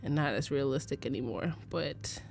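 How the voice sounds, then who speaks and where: A woman's voice: extremely low pitch, expressive, moderate pace, gravelly, darkish tone, soft background music. A young American woman from Arizona giving a casual live photo-editing tutorial, chatty and full of fillers, laughing easily. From United States (Arizona). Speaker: livestream presenter teaching photo editing.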